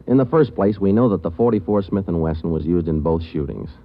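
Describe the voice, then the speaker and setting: A terse, matter-of-fact police sergeant in a 1940s radio drama. His voice is very low. He speaks in clipped lines, and the old broadcast sounds thin and tinny. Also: animated, fairly quick. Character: terse male police sergeant narrating a case. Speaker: police detective and narrator.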